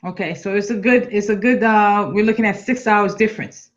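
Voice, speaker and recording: A woman's voice: mid-range voice, brisk. A middle-aged woman hosting an interview show over a video call, encouraging and friendly, often restarting her words. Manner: warm and encouraging, with stumbling restarts. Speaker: host. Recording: dull lo-fi video call audio.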